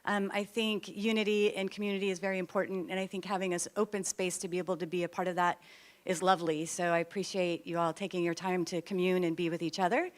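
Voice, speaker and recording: A middle-aged woman from Oregon giving a friendly, chatty talk to a gathering, with a loud voice and self-deprecating humor. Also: fairly low pitch, level tone, fast. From Oregon, USA. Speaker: guest speaker, nonprofit CEO. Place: meeting hall with audience.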